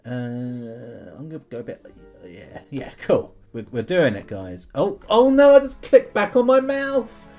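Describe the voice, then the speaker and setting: Guy doing casual gameplay commentary, voice goes up and down a lot, kinda unhurried, thinks out loud and chats to viewers. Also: medium pitch, very animated, soft background music. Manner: thinks aloud in questions, chatty asides to viewers. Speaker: YouTube gamer host. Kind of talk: casual commentary while playing an online history quiz game.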